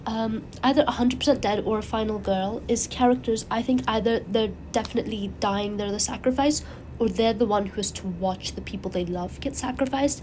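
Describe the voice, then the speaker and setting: A woman with a cold, her voice raspy and congested, chatting casually and rambling animatedly as the host of her own channel. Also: on the high side, a little hiss or hum, poor audio. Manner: rambling, animated, frequent fillers and restarts.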